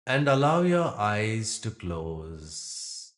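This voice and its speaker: A man guiding a meditation as a yoga nidra teacher, speaking in gentle instructions with long pauses. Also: lowish voice, sing-song. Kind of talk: guided meditation.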